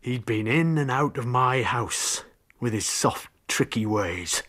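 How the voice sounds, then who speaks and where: A man's voice: medium pitch, animated, takes it slowly, fairly bright tone. An actor in a radio drama playing a bitter, jealous, hard-drinking husband confessing to murder, brooding as he tells it. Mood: resentful. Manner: brooding, confessional storytelling that breaks into confrontation.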